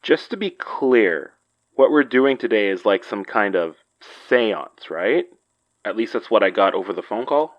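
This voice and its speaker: A man's voice: on the low side, expressive, measured pace, lo-fi sound. An actor playing a baffled, sarcastic young everyman with an incredulous way of talking, in a naturalistic audio drama. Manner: incredulous, wisecracking.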